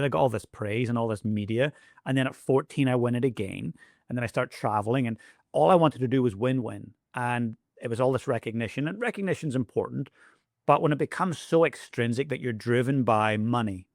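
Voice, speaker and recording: Gravelly Irish man coaching. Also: mid-range voice, no echo, studio quality. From Ireland. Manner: steady, storytelling explanations. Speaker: high-performance coach. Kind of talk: interview guest.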